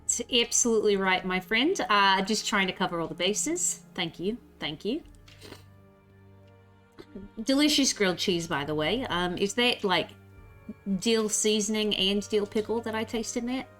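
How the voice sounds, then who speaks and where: A woman's voice: medium pitch, unhurried, a bit bright, soft background music. A woman with a stuffy, congested voice playing a tabletop game, talking in a hesitant, giggly way. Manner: hesitant, lots of fillers, giggly. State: congested from a cold. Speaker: player. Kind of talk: casual tabletop roleplay chat.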